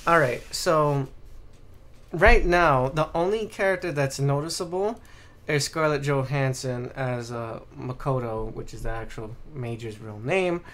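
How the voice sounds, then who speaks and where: A man hosting a casual podcast, speaking bluntly with plenty of swearing and getting animated when exasperated. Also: mid-range voice, measured pace. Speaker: podcast host. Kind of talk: casual opinionated podcast chat.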